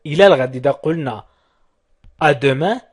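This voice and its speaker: Brief: male language tutor, higher-pitched, husky tone, repeats short phrases for drilling. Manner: repeats each short phrase slowly several times. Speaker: language teacher.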